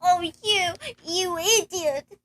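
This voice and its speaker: Bedridden, demanding nervous wife for radio play, female performer; piercingly high, stammering delivery, noisy recording. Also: fairly even intonation, extremely slow, exaggerated voice. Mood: frantic, exasperated. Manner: fretful, stammering, insistent. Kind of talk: amateur radio play acting.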